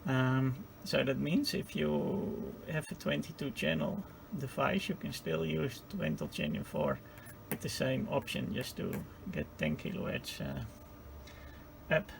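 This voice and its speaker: A man giving an informal hands-on technical tutorial, speaking haltingly as he searches for words. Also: mid-range voice, big pitch swings, gravelly, a little hiss or hum. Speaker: presenter of a radio repair tutorial.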